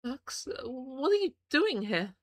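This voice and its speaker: A woman voicing a frightened adult friend who stammers with a trembling, crying voice, pitched high. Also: expressive, normal speed, pushed and bright, dry recording. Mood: pleading.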